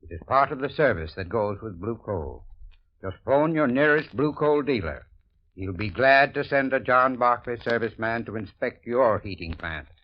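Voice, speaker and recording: A man's voice: mid-range voice, lively intonation, normal speed. A man reading a scripted radio advertisement in a friendly, persuasive manner. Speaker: sponsor spokesman. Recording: dull old radio recording.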